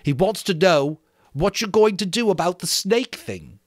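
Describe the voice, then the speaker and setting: Earnest storybook schoolboy, acted by a man: urgent, insistent, pitched well up, swooping intonation. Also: lively intonation, a little slow, dry recording, studio quality. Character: Piggy, earnest, insistent schoolboy speaking up for others. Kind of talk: dramatic audiobook character voice.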